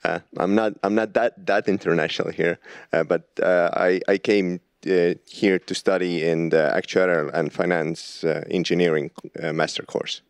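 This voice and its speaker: A man's voice: deep voice, fairly even intonation, moderate pace, creaky, clean tone, dry recording. A young man, a master's student answering interview questions hesitantly with many restarts. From Estonia.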